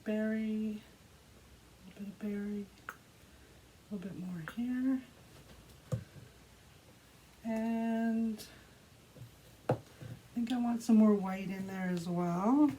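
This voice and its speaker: A woman with a soft, mellow voice at an average pitch talks through a hands-on craft demonstration. She is casual and unhurried, describing each step as she does it. There is some faint noise behind her. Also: rough recording. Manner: unhurried step-by-step narration of own actions. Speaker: art tutorial host. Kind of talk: casual craft demonstration, talking while working. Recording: clearly audible background noise.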